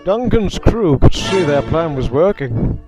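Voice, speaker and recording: A man's voice: a little high, very animated, measured pace, over music. A man reading scripted, dramatic narration as the storyteller of a children's audio drama.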